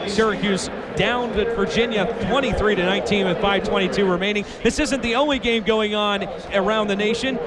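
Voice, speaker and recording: High-voiced American man calling the game. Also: a little fast, over a noisy crowd. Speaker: radio play-by-play sportscaster. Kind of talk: live sports commentary.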